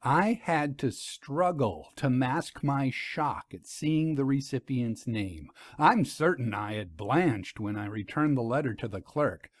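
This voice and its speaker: Animated man narrating an audiobook. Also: mid-range voice, takes it slowly, few pauses, dry recording. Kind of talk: scripted story narration.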